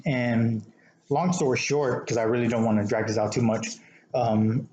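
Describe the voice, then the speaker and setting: A man's voice: mid-range voice, fairly even intonation, moderate pace, a little mellow, highs cut off. An American man telling casual anecdotes as a co-host in a conversational, somewhat hesitant manner. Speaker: podcast co-host telling stories. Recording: roomy echo with background noise.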